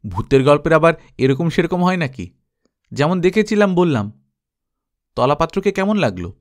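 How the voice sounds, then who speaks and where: A man's voice: fairly high pitch, sing-song, normal speed, pitched above the performer's own voice. A man voicing a good-humoured older schoolteacher who tells odd tales in a storybook. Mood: amused.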